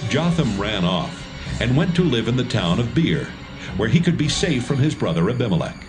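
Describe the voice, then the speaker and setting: A man narrating a dramatised Bible reading in a steady, measured storytelling manner. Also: medium pitch. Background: music score.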